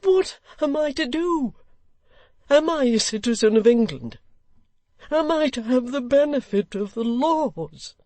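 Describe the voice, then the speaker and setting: A woman voicing a choleric, frantic old gentleman who pleads and protests in indignant outbursts. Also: high voice, expressive, unhurried, no echo, pitched above the performer's own voice.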